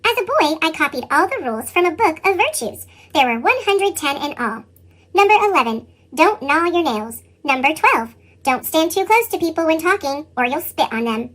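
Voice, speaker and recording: A woman reading a children's picture book as an earnest young boy, with a squeaky pitched-up chipmunk effect. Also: moderate pace. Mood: matter-of-fact. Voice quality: squeaky, sped-up chipmunk sound. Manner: animated children's read-aloud delivery. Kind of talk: scripted picture-book narration.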